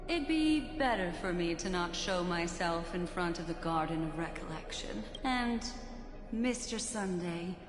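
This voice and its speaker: An enigmatic, serene mystic in a game. Her delivery is languid, soft and very slow, with a lowish voice. Long reverb hangs around it. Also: expressive. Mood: gentle, discreet. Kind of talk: video game cutscene acting. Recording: echoey hall reverb, game music behind.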